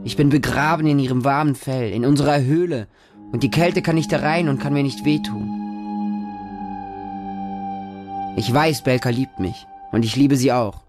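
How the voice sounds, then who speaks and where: An actor playing a frightened, devoted street child who lives with dogs, telling the story in short, simple sentences for a radio drama. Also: male voice, medium pitch, brisk, natural voice. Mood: tender, comforted. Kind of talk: first-person radio drama monologue. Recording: music under the voice. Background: music.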